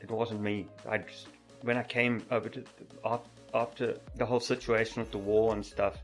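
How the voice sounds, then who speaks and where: A middle-aged man with a stutter who repeats words, talking softly and openly as a podcast guest. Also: fairly low pitch, little pitch movement, moderate pace, faint music behind. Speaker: podcast guest, mountain biker. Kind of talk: casual interview chat.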